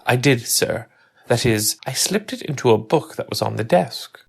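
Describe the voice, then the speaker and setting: A gravelly manservant, played by a man, explaining earnestly what happened in a radio drama. His voice is mid-pitched and rough at the edges. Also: lively intonation, normal speed. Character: nervous male servant accused of murder.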